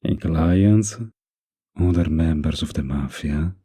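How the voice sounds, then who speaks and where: A man playing a mafia boss in an intimate roleplay audio, menacing yet kindly, with an unhurried, lightly teasing, flirtatious manner and a gravelly, husky voice. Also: a bass voice, dark tone, full character voice. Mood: firm, reassuring.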